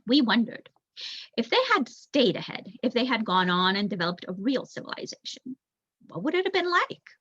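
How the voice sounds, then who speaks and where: A young woman voicing a thoughtful, bookish male rat elder telling a storybook history in a measured, reflective way. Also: fairly high pitch, big pitch swings, fairly bright tone, breathy edge, no room sound, full character voice. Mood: pondering. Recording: dull lo-fi recording.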